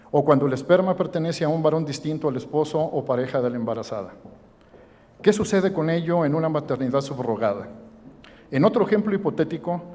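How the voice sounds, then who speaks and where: A man's voice: medium pitch, dark tone. Man reading a formal speech in a hall. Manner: measured, formal. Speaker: event presenter. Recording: reverberant hall.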